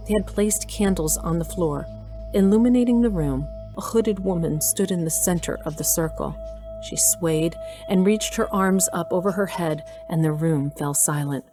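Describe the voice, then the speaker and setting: A woman's voice: lowish voice, normal speed, over music. A woman reading scripted story narration for an audio drama.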